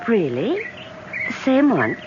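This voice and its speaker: Playful, teasing young heiress, lilting delivery. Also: female voice, mid-range voice, wildly swooping pitch, slow. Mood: airy, amused. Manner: playful, flippant, mocking. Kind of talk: vintage radio drama acting. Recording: dull, noisy vintage radio recording.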